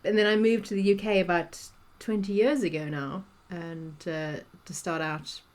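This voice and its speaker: South African woman, lowish voice. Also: fairly even intonation, moderate pace, a little mellow. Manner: chatty, many restarts and fillers. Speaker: guest, executive coach. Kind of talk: podcast interview conversation.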